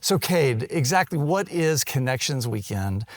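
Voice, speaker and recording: A man's voice: mid-range voice, expressive, measured pace, no echo. A middle-aged man, a university president, hosting an interview in an upbeat, encouraging way. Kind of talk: hosted interview.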